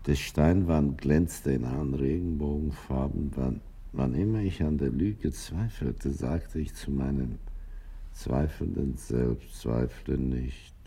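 An actor playing a weary, bitter bookseller who spins tall tales in a radio drama, halting and brooding with dramatic pauses. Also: male voice, dark tone, full character voice. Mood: brooding, wistful. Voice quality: very deep, gravelly.

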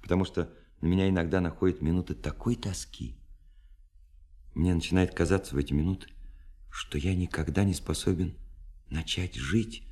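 Romantic lonely dreamer, low register, impassioned monologue, ornate literary wording, dramatic pauses, radio drama. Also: male voice, fairly even intonation, a little mellow. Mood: sorrowful, grateful. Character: lonely romantic young dreamer pouring out his heart.

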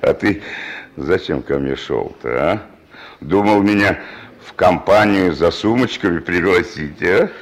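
An actor playing a sly, menacing killer and gang leader with a gravelly voice, in a Soviet-era radio drama. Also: male voice, low-pitched, expressive, full character voice. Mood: boastful. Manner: slow, taunting, chuckling criminal slang. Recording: dull, noisy old radio recording.

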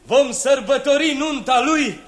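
An actor playing an emperor, ceremonious when raising a toast and grave when speaking privately, in a 1960s radio drama. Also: male voice, very high-pitched, heavy background noise. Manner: ceremonious, then grave and quiet. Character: old emperor, grateful and solemn. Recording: old radio recording with a crowd behind.

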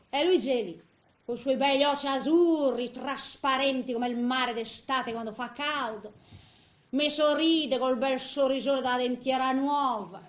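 A woman's voice: high-pitched, roomy sound, noisy background, close to an everyday voice. An actress playing a tender, chatty eighty-year-old woman with a Ligurian Italian accent, in a homely theatrical monologue. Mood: girlishly excited. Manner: chatty, homely, hesitant storytelling with little interjections.

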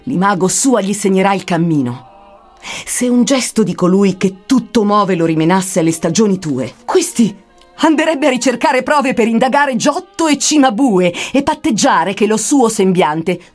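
A woman's voice: mid-range voice, expressive, normal speed, a little mellow, natural voice. A woman playing a solemn poet guide who prophesies infernal punishments in declamatory, archaic rhymed verse. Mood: mocking, prophetic. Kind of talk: dramatic verse recitation. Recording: music behind the voice.

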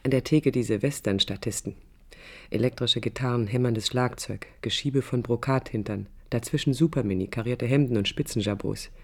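A woman's voice: extremely low pitch, normal speed, a little mellow. An actress playing a proud, idealistic young woman architect in a radio drama, articulate, combative and ironic. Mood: wry, reflective. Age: young adult. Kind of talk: radio drama acting with interior monologue. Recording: 1980s radio play with background noise.

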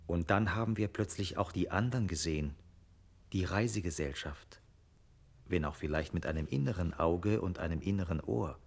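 A male narrator with a very deep voice tells the story in a radio play. He is reflective and conversational, in the manner of a scripted storyteller. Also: moderate pace.

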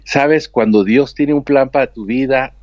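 A man's voice: medium pitch, unhurried, darkish tone, dry recording, muffled recording. A man with a Mexican accent whose first language is English, a nurse telling his story earnestly in an interview. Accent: Mexican Spanish. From United States, raised with Mexican family. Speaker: guest, nurse and author.

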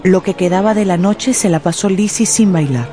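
A woman narrating a literary radio drama in an expressive, deliberate storytelling style. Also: mid-range voice, faint music behind.

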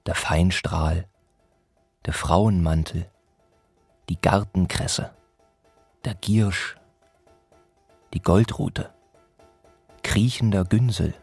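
A sober radio play narrator, deep voice, really slow and deliberate, bit of music behind. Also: male voice, gravelly, darkish tone, husky, dry recording, exaggerated voice. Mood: measured, listing. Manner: deliberate, measured delivery in short phrases.

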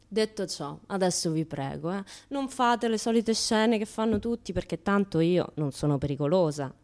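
Actress as a brash, animated Roman chatterbox. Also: female voice, mid-range voice, moderate pace, lightly acted. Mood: wry, reassuring. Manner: colloquial, teasing, mimics other people in dialogue. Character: brash, chatty naked woman who turns up everywhere, unashamed. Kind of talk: comic theatrical monologue for radio.

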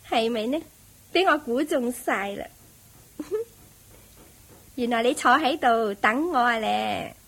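High-voiced, slow-drawling brazen courtesan. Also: female voice, vocal fry, fairly bright tone, exaggerated voice. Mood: flirtatious, teasing. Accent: Hong Kong Cantonese. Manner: teasing, drawling, mocking. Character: brazen, world-weary courtesan, flirtatious and bitter. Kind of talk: Cantonese radio drama acting.

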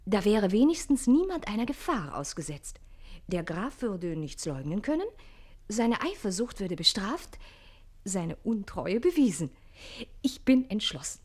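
A noble lady of the house in an old-style radio play. Her mid-pitched voice moves expressively, elegant and decisive, with a conspiratorial edge. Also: very animated, unhurried, a little mellow. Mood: resolute, conspiratorial. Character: elegant, scheming, resolute countess and mistress. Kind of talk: classic theatrical radio play acting.

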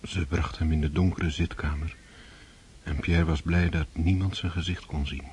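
A man's voice: rumbling low, steady tone, moderate pace, a little mellow, slight background noise. A man narrating a literary radio drama from a script.

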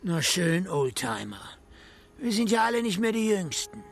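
Creaky, sneering western drifter. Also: male voice, high-pitched, big pitch swings, moderate pace, exaggerated voice. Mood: smug, sly. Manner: sneering, drawling, chuckling between phrases. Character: mocking, cocky scruffy western drifter and circus hunter. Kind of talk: German radio western drama.

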